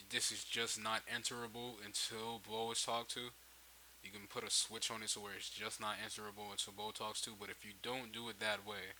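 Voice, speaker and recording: A man giving a casual, unscripted software tutorial, thinking aloud and backtracking as he explains. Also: on the low side, low-quality recording. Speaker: tutorial host. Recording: hissy home microphone, noticeable background noise.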